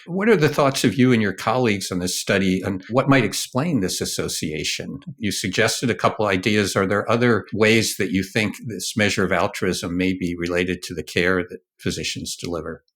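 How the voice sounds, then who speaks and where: A man's voice: low-pitched, moderate pace, hardly any pauses, a bit bright. A man hosting an expert podcast, putting prepared questions to a guest in a formal, courteous way. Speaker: podcast host, journal editor.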